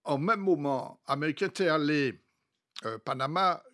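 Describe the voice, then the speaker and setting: A man with a Martinican accent, telling history in casual discussion, halting yet emphatic, slipping Creole into his French. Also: a little high, a bit bright. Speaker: studio guest.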